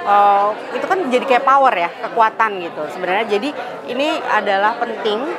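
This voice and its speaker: A woman speaking thoughtfully in an interview, pausing and searching for words. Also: mid-range voice, animated, crowd noise. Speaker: panel speaker. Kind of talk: interview statement.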